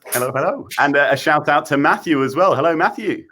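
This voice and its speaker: A man's voice: on the high side, lively intonation, brisk, sharp tone, a little hiss or hum. A man hosting a casual children's live show in an upbeat, appreciative way. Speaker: host.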